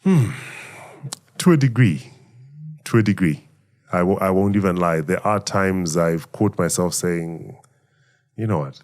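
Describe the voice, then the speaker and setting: A middle-aged man from Zambia, a voice actor giving thoughtful interview answers in a measured, reflective way. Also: deep voice, darkish tone. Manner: measured, reflective, speaks of faith and gratitude. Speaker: voice actor and emcee, guest.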